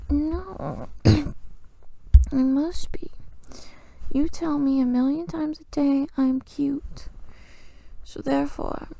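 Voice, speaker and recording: A woman's voice: high voice, vocal fry, mellow, slight background noise, full character voice, rough recording. A woman playing a clingy, playfully bossy girlfriend in an improvised ASMR roleplay, murmuring drowsily close to the mic and often dropping to a whisper. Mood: coy, teasing. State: sleepy.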